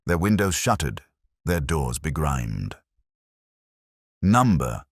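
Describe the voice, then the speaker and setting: A man with an extremely low voice narrates a mystery tale in a measured, theatrical way. His pitch moves expressively, and the sound is close and dry. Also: dry recording. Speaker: audiobook narrator. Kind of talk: scripted dramatic detective-story narration.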